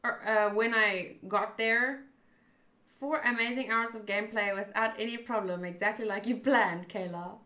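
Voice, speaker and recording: A young woman chatting casually with viewers during a live game playthrough, animated and jumping between loud outbursts and mumbled asides. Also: mid-range voice, moderate pace, reverberant. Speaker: streamer.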